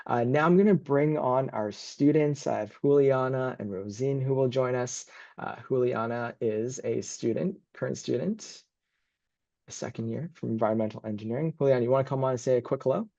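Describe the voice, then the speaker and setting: A man's voice: mid-range voice, fairly quick, vocal fry, mellow, dry recording, lo-fi sound. A man working as a university admissions advisor, hosting and presenting an informal online information session.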